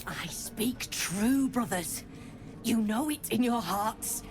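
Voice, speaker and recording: A woman's voice: a little high, animated, slow pace, soft background music. A woman voicing a zealous giant spider in a video game, preaching solemnly and fervently.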